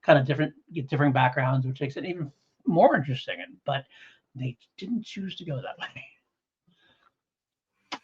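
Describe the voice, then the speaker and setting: A man's voice: fairly high pitch, moderate pace, pauses often, husky. A man co-hosting a podcast in casual review chat, talking in a rambling, conversational, hedging way. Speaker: podcast co-host. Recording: dull, lo-fi podcast audio.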